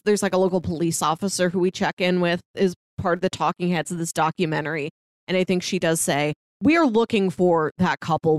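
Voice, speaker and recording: A woman's voice: lowish voice, darkish tone, no echo. A woman co-hosting a podcast, discussing film casually and conversationally, often peppering her sentences with "like". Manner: conversational, frequently says like. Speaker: podcast co-host.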